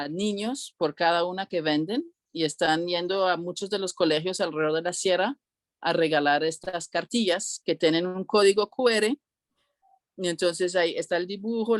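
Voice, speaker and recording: A woman speaking as a guest in a casual interview on an online call, halting as she searches for words. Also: low voice, dry recording. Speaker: interview guest, cultural organiser.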